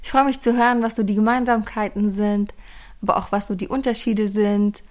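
A woman's voice: mid-range voice, steady tone, fairly quick. A woman hosting a podcast, introducing the episode and her guest in an upbeat, chatty, conversational way. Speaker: podcast host.

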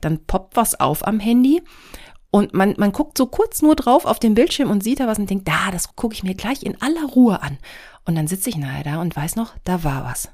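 A woman's voice: medium pitch, expressive, normal speed, a little mellow. A middle-aged woman hosting her own podcast, talking casually and rambling a bit, nasal and stuffed up from a cold. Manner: scattered, rambling, self-deprecating. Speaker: podcast host. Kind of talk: casual solo podcast chat.

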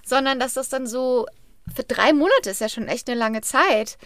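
Higher-voiced woman in casual podcast chat. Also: normal speed, fairly bright tone, no room sound, studio quality. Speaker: podcast host.

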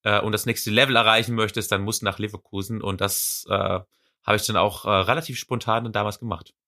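A young man from Swabia with a light Swabian accent, a para athlete chatting casually in a podcast interview, thoughtful and relaxed with frequent fillers. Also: on the low side, brisk. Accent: light Swabian German. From Swabia, Germany.

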